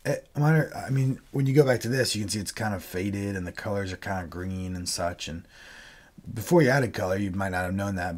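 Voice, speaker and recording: Brief: male livestream host, under the weather, lowish voice, easygoing step-by-step talker on a desk mic. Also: husky. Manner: relaxed, chatty, explains step by step. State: sick, voice lower than usual. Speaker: video creator and worship leader hosting a livestream. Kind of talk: casual how-to livestream talk. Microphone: desk microphone, webcam video.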